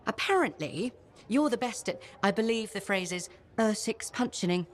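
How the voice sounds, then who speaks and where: A woman's voice: mid-range voice, animated, normal speed, natural voice. A woman voicing a wry curious scholar.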